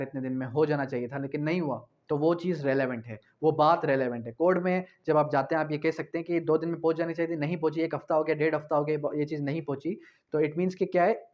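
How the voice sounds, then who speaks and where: A man's voice: fairly high pitch, darkish tone, highs cut off. A man teaching law in an informal, explanatory way, repeating key points and mixing in English terms. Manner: switches between Urdu and English terms. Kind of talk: informal exam-prep lecture.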